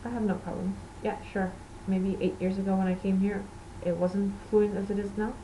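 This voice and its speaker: A woman's voice: mid-range voice, little pitch movement, normal speed, background noise. A woman from Portugal, a native Portuguese speaker, hosting a knitting podcast in rambling, self-correcting casual chat.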